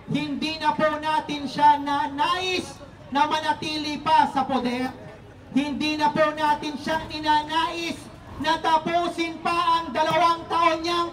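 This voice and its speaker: Male Filipino rally orator, slow and sharp-toned, emphatic and chant-like, distant echoing PA with faint music. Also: very high-pitched. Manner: emphatic, declamatory, chanting repetitions. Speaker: protest rally speaker. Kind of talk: fiery political rally speech. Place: outdoor street rally. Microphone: public address microphone. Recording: echoing loudspeaker heard from afar, faint music.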